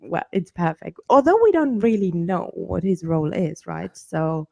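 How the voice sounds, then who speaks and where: Podcast co-host, German accent, lowish fry-heavy voice, eager and meandering. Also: female voice, big pitch swings, moderate pace, a little mellow, lo-fi sound. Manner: enthusiastic, rambling with many fillers. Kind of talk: casual chat.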